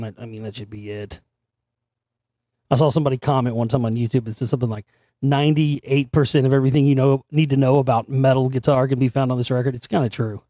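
A middle-aged man hosting his own show, his voice hoarse and still recovering, rambling casually with fillers and the odd curse word. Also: medium pitch, mellow, dry recording, studio quality. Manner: rambling, conversational, frequent fillers and mild swearing. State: hoarse, voice recovering after straining it. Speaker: radio show host. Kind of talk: casual solo talk show monologue.

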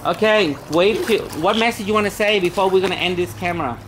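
A man's voice: high voice, quick pace. A middle-aged man, coaxing and teasing children as he coaches them by a backyard pool, recorded outdoors on a camera a few meters away. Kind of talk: casual family coaching. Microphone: handheld camera a few meters off. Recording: splashing water noise.

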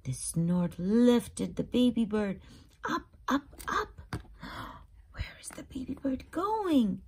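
A woman hosting a read-aloud for young children, narrating expressively and pausing to explain and ask simple questions. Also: fairly high pitch, sing-song, darkish tone, a little hiss or hum. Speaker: storybook reader and channel host.

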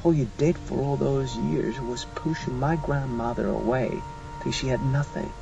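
A man acts out a reproachful young woman, a granddaughter in an audiobook drama. The pitch is lifted well above his own and the questioning is accusing. Music sits behind a dull recording. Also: steady tone, measured pace, creaky.

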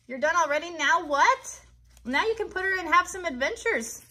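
A woman's voice: extremely high pitch, animated, moderate pace, bright, forceful tone. An American woman in her thirties hosting a live craft tutorial for children, chatty and encouraging as she talks directly to them. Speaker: children's craft host and puppeteer. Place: child's bedroom set indoors.

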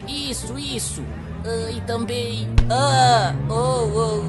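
A woman voicing a friendly little robot companion in an animated webseries, speaking in simple measured phrases. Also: on the high side, loud music behind the voice. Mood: cheerful.

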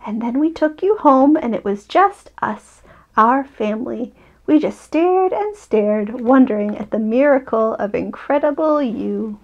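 A loving mother polar bear from a children's storybook, played by a woman reading aloud. She speaks tenderly and gently, in a fairly high voice, as if telling her cub a bedtime story. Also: lively intonation, unhurried, muffled recording. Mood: fond, giggly.

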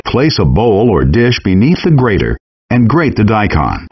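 Husky male game cooking instructor. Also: low voice. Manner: calm, measured, instructional delivery. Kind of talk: recorded game voice lines, step-by-step instructions. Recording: dull lo-fi game audio rip.

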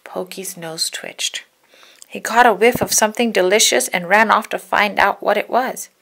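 A woman narrating a children's storybook in an expressive, deliberate storytelling manner. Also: medium pitch, vocal fry. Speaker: storybook read-aloud narrator.

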